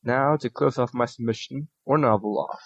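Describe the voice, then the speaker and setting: A man hosting a podcast, reading listener submissions aloud in a steady, plain way with small restarts. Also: mid-range voice, moderate pace, no room sound. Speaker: podcast host. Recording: dull lo-fi audio.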